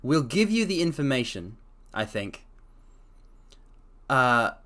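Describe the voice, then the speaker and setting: A man reading a story aloud on a live stream, his voice at an ordinary middle pitch. He stumbles, restarts lines and breaks off for casual, self-conscious comments. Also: big pitch swings, slow, bright, forceful tone. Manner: hesitant, self-correcting, chatty asides.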